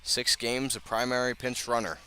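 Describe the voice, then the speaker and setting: A man gives live radio baseball play-by-play. His voice is bright and projecting, at a middling pitch, with a light bed of outdoor noise behind him. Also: little pitch movement, normal speed, low-quality recording. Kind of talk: live radio sports commentary. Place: outdoor ballpark broadcast position.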